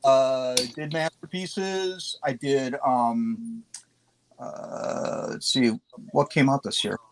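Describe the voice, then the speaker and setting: A man's voice: mid-range voice, slow pace, clean tone. A man chatting as a guest on a live stream, rambling and joking about himself. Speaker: guest artist. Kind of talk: casual live-stream chat.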